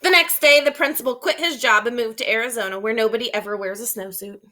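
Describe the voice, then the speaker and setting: A woman reading a children's storybook aloud as a teacher, expressive and emphatic, explaining things to young listeners. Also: a little high, quick pace.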